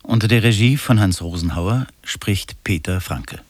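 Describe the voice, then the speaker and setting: A man introducing a radio play in a measured, formal announcer style. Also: lowish voice, animated, background noise.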